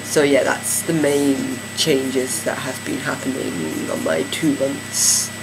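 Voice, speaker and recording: Gravelly-voiced man, hesitant video diary. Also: mid-range voice, slow pace. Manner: hesitant, many fillers and restarts. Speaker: vlogger. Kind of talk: casual video diary update. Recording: steady air conditioning hum.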